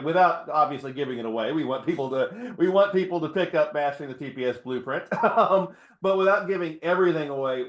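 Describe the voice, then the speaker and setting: A man's voice: mid-range voice, roomy sound. A man hosting an interview, chatty, laughing often and restarting his sentences. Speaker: podcast host. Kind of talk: interview conversation.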